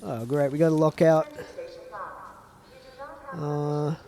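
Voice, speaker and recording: A man's voice: fairly high pitch, fairly even intonation, slow pace, dark tone. A young man with a stuffed-up voice from a chest infection, giving casual, rambling commentary over a zombie shooter game. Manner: chatty, trailing off with fillers. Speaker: gaming commentator.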